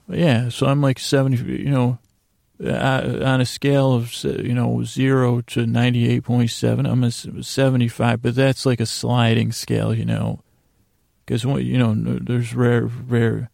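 A man hosting a bedtime podcast with a creaky, gravelly voice, rambling and halting through digressive monologue that often trails off. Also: mid-range voice, mellow, no echo, studio quality. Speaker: podcast host. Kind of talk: rambling bedtime monologue to lull listeners to sleep.

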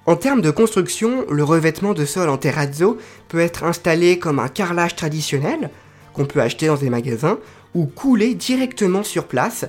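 Chatty, hesitant male podcast host. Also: on the high side. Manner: chatty, explains carefully with frequent hesitations. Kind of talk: solo informative podcast monologue.

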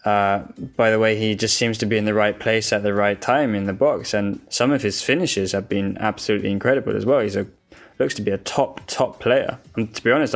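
A man with a fairly low voice gives casual football analysis as a podcast guest. He is eager and keen, doubling up words as he talks, with faint music behind him. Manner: enthusiastic, repeats words for emphasis.

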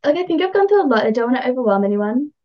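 A woman's voice: high voice, very animated, very fast, highs cut off. A woman chatting casually as she explains astrology as a podcast guest, informal, enthusiastic and encouraging.

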